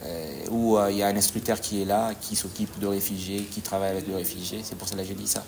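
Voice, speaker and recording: A man, a judo coach answering interview questions in a halting way, often pausing to search for words. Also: low voice, fairly even intonation, creaky, darkish tone, slight background noise. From DR Congo.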